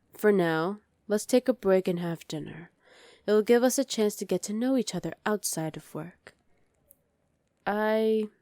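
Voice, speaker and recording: Woman acting as evil sorceress queen for asmr roleplay, bossy n condescending, lowish voice, really dry close sound. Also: warm tone, no room sound. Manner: imperious, condescending, theatrical. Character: dominant, haughty evil sorceress queen, sly and imperious.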